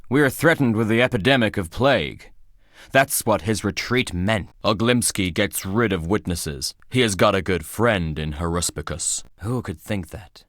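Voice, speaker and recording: An actor playing a weary, cryptic old town judge in a video game, delivering grave and formal pronouncements. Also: male voice, low-pitched, fairly bright tone, no echo, clean studio sound. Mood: grave, brooding. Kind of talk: dramatic video game voice acting.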